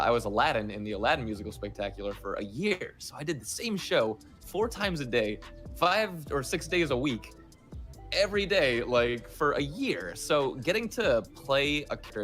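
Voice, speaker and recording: Animated man chatting over music. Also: mid-range voice. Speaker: voice actor, interview guest. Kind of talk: casual interview chat. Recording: music playing behind the voice.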